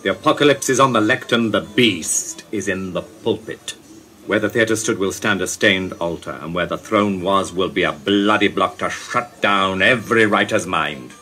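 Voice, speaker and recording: An actor playing a brooding, dignified ageing playwright in a radio play, speaking in a grave, formal, oracular way. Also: male voice, low voice, normal speed, sharp tone, faint music behind. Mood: foreboding. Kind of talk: broadcast radio play acting.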